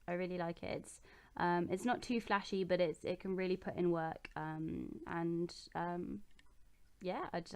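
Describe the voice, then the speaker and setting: A young woman chats easily from her bedroom as she answers viewer questions one after another. Her voice sits a little low for a woman and sounds smooth and clear, and she fills her pauses with ums and small restarts. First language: English. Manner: chatty and relaxed, with many ums and self-corrections. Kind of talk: casual chat.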